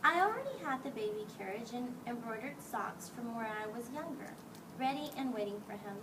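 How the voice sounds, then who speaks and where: A woman's voice: a little high, lively intonation, fairly quick. A woman reading a picture book aloud to children as a teacher, expressively and acting out the dialogue. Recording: distant mic, clearly noisy background.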